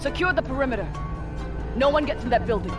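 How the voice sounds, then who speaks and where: A defiant female special operations lieutenant in a military video game. Her delivery is clipped, forceful and determined, made for command, with loud dramatic music behind her. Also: high-pitched, expressive, fast talker. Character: determined, vengeful young female Navy lieutenant turned commander.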